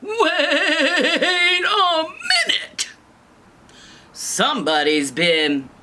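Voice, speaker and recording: A woman voicing a father bear in a children's story, blustering and puzzled, with drawn-out, pompous emphasis. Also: very high voice, wildly swooping pitch, pushed and bright, slight background noise, voice pushed up, heavily acted. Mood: outraged. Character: big papa bear, blustering, puzzled head of family.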